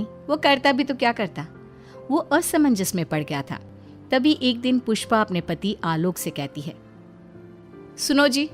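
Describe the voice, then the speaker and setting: A woman reading a scripted moral story as its narrator. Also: mid-range voice.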